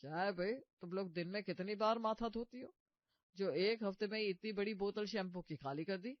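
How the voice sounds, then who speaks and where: A man acts out a penny-pinching, bossy husband from a comic cartoon story. His voice is notably high for a man and full of grumbling. It is recorded very dry, with a slightly muffled sound. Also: normal speed, no echo, close to an everyday voice. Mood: scolding. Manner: complaining, bossy. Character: stingy, grumbling husband and householder.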